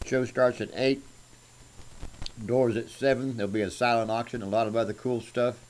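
A man, talking casually and unhurriedly in short folksy fragments as he pitches something for auction. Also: steady tone, normal speed, a little mellow, slight background noise, rough recording. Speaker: musician presenting a guitar for a charity auction. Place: small indoor room at home. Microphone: microphone on a stand at guitar height. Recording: acoustic guitar playing around the voice. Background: acoustic guitar.

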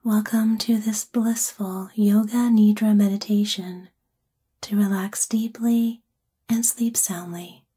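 Breathy, whispering woman guiding sleep meditation. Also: mid-range voice, fairly even intonation. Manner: gentle, soothing, unhurried phrasing. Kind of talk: guided sleep meditation, ASMR-like narration.

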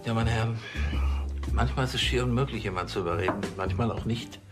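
An actor playing a vain, self-important police chief, pompous and wordy, in a TV crime comedy. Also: male voice, fairly low pitch, over loud music, natural voice. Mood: self-satisfied. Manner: pompous, wordy, halting officialese.

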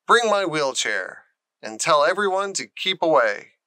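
A man puts on a frail but imperious young boy from a storybook. The voice is bright and clean, often rising high. He speaks with bossy emphasis. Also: sing-song, measured pace, no room sound, heavily acted. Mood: demanding.

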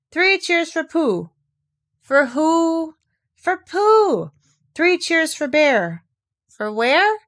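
A woman's voice: sits high, pitched above the performer's own voice, exaggerated voice. A woman voicing a simple, anxious, hopeful male toy bear in a storybook, earnest and singsong.

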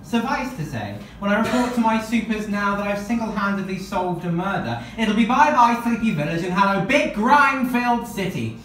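A woman's voice: medium pitch, expressive, moderate pace, few pauses, close to an everyday voice. Young woman playing a smug crooked cop, echoey room. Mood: smug, gloating. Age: young adult. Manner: gloating, formal. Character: smug, scheming crooked police officer hungry for promotion. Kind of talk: reading a murder mystery party script. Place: small room at a party. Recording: echoey room, distant camera, background noise.

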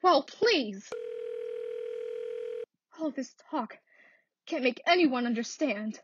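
A woman's voice: high voice, animated, slow, fairly bright tone, breathy edge, no room sound, heavily acted. A woman playing a nervous, imperious married invalid in a radio thriller, insistent and easily exasperated. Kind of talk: classic radio thriller acting. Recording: dull, lo-fi dry audio.

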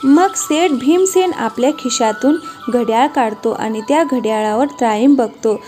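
A woman narrates a children's cartoon tale in a scripted storybook style. Her voice is fairly high, with faint music underneath. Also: a little slow, dark tone. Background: light background music.

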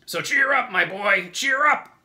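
A giant, brash centipede from a children's book, acted by a man. He is loud and hearty, pitched above an everyday male voice. The delivery is animated and jolly. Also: moderate pace, heavily acted. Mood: hearty, cheery.

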